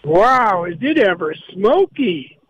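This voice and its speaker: A man with a high voice chats casually as a caller to a radio show. He is on the phone in a car, so the sound is dull with faint noise behind. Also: sing-song, slow pace. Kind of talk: casual chat. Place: in a car, driving.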